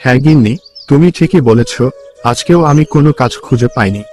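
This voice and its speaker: A man's voice: medium pitch, expressive, a little fast, a little mellow, soft background music, lightly acted. Poor village husband for a cartoon, played by a man: mid-range voice, meek and earnest. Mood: resigned. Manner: humble, earnest. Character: poor, weak, pleading husband seeking work. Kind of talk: cartoon story dialogue.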